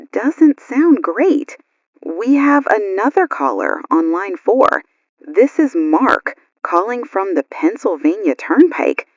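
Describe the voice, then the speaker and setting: A woman's voice: mid-range voice, no room sound, lo-fi sound. A woman playing an alert, concerned morning radio news host in an audio drama. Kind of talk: acted radio broadcast drama.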